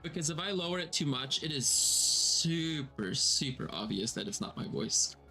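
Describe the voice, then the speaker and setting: A man hosting a casual stream, his voice processed to sound a little lower and fuller, chatting in a self-deprecating way with many fillers. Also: lively intonation, normal speed, vocal fry, bright, forceful tone, faint music behind, low-quality recording. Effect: voice processed slightly lower and more resonant. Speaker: streamer and game master. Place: home streaming room.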